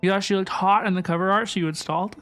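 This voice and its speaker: A woman streams a video game in a mid-pitched voice. She is chatty and effusive, casually answering viewer messages. Faint game music plays underneath. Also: steady tone, racing, a bit bright. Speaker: game livestreamer. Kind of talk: casual livestream chat and game reaction.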